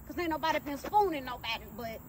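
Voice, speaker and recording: A slick street lawyer acted by a woman with a very high voice, full of evasive, repetitive hustler patter. It is a comedy skit, caught outdoors at a distance from the mic over noisy ambience. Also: expressive, fast. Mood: hedging, boastful. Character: shady, slick, fast-talking self-styled male street lawyer. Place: outdoors beside a shipping container. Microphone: phone camera from a few meters away. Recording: distant outdoor phone audio with noise.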